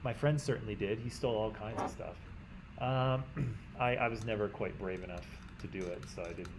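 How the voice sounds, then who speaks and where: A Canadian man teaching a class in a conversational way, often checking in with "right?" and clearing his throat. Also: on the low side, normal speed, background noise. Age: adult. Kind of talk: classroom lecture.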